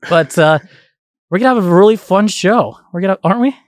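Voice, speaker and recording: A man's voice: high voice, lively intonation, a little fast, no room sound, studio quality. A man in his twenties hosting a podcast in a casual, chatty, rambling way. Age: 20s. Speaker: podcast host.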